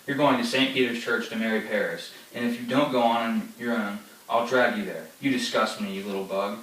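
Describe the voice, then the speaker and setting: A man's voice: on the low side, steady tone, a little fast, fairly bright tone, close to an everyday voice. An actor playing a domineering older nobleman father who scolds and rants at his daughter. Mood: raging. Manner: scolding, ranting. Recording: echoey room from far off, faint ambience.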